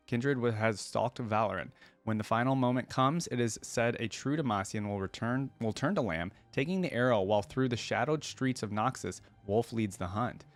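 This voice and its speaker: A man hosting a reaction video, switching between casual commentary and reading aloud. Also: lowish voice.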